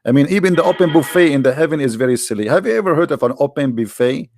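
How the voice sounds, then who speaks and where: A man's voice: on the high side, animated, very fast, a little mellow, faint music behind. A man hosting a live online debate, mocking and sarcastic, firing questions at his opponent. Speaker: debate host.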